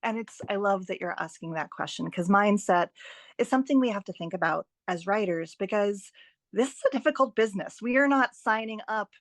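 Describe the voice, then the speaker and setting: A woman's voice: mid-range voice, expressive, fairly quick, no echo. A woman giving encouraging, emphatic advice as an author and writing teacher in a conversational podcast interview. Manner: enthusiastic, encouraging, emphatic repetition.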